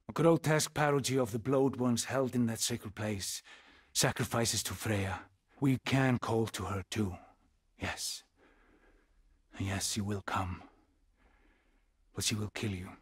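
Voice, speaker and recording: A man playing a weary, haunted Norse settlement leader in a video game, speaking gravely and deliberately in a husky, breathy voice. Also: on the low side, no echo, studio quality. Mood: solemn, mystical. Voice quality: husky, breathy, often near a whisper. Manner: slow, deliberate, grave, with pauses. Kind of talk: dramatic video game acting.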